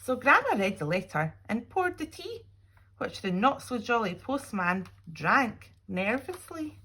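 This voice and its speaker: A woman reading a children's storybook aloud expressively, with dramatic pauses and little asides to young listeners. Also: a little high, sing-song, bright, forceful tone, a little hiss or hum, poor audio. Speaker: teacher reading a picture book aloud.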